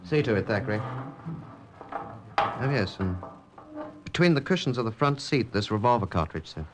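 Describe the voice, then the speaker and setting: An actor playing a businesslike, deferential police sergeant at a crime scene in an old radio drama. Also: male voice, fairly low pitch, animated, moderate pace, slight background noise, lightly acted.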